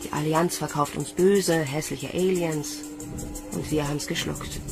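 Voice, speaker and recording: A rather low-voiced young woman soldier in a science fiction radio play. She is troubled but defiant, and music runs clearly behind her. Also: steady tone, measured pace. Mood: bitter, intense. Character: troubled, defiant young female space recruit, O'Shea. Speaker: lead actor and narrator of an audio drama.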